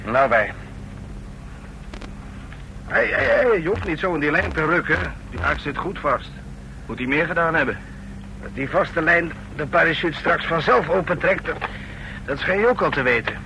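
A man's voice: lively intonation, normal speed, creaky, pauses often, bright, forceful tone, exaggerated voice. An actor playing a sardonic, commanding gang man and jumpmaster, curt and brusque, in a radio drama. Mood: barking, urgent. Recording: noisy old radio recording with engine rumble.

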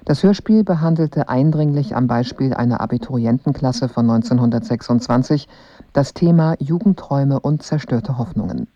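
A man's voice: medium pitch, dark tone, no echo. A man reading a scripted radio introduction in German broadcast style as an announcer. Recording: dull, dry studio broadcast recording.

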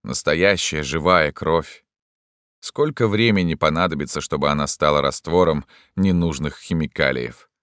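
A man voicing a bossy, grumbling old doctor with a creaky, grating voice in an audiobook. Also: rumbling low, normal speed, fairly bright tone, no echo, clean studio sound. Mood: gruff. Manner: grumbling, authoritative. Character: cranky, bossy old male doctor, gnomish and grey-bearded.

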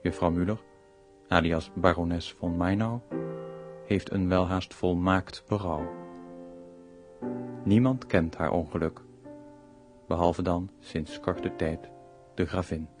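A male narrator with a low voice tells the story of a radio play at an unhurried, measured pace. Piano music plays clearly behind him. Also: steady tone. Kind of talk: scripted radio drama narration.

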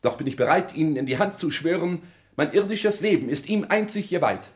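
An actor playing an ambitious bourgeois social climber in a 1960s German radio drama, solemn and fawning, with formal, oratorical delivery. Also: male voice, a little high, lively intonation, a little fast, close to an everyday voice. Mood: fervent, solemn.

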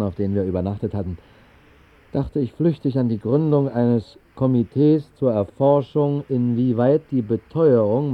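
An actor playing a whimsical night-owl who narrates an absurd dream in a radio play, with ornate, mock-solemn phrasing. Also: male voice, mid-range voice, lightly acted. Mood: wry, deliberate. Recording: muffled, thin 1960s radio recording.

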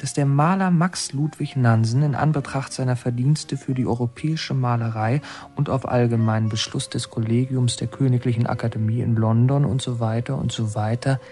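Radio play narrator, male actor as policeman's watchful son, plain measured storytelling, mid-pitched. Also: steady tone, a little mellow, close to an everyday voice. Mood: observant, measured. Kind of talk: scripted radio drama narration, present tense.

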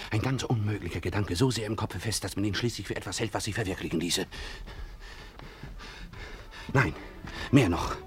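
An actor playing a lovesick, obsessive young gambler in a German radio drama, with urgent theatrical delivery. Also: male voice, on the low side, close to an everyday voice. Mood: brooding. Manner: theatrical, urgent, breathless whispers to outbursts. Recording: noisy vintage radio play recording.

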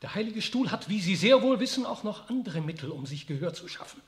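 A count pressing hard, insistent, long hall reverb. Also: male voice, high-pitched, very animated, fairly quick. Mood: urgent. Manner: urgent, insistent. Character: urgent, pleading count and diplomat. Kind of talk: 1960s radio drama.

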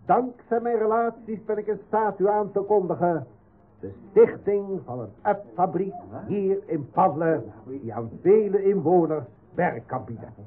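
A man acting a self-important, oratorical village benefactor in a radio drama. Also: high voice. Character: grandiloquent male benefactor and self-proclaimed discoverer. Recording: tinny narrow-band radio recording with hiss.

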